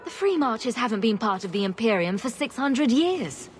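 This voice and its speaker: A woman's voice: a little high, sing-song, moderate pace, soft background music. An actress playing an indignant, principled adventurer woman in a fantasy video game, direct and challenging. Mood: firm.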